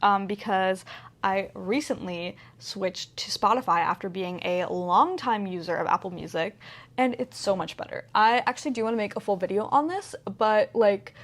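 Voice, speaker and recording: A woman's voice: medium pitch, normal speed. Chatty woman of twenty, lots of "like". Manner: rambling, filler-heavy, lots of "like". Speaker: vlogger. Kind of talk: casual chatty vlog.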